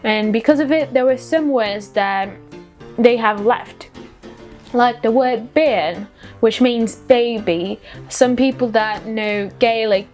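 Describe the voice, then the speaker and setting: A woman's voice: mid-range voice, a little mellow. A woman with a foreign accent giving a casual explainer vlog, chatty and giggly in a self-deprecating way. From outside the UK. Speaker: vlogger.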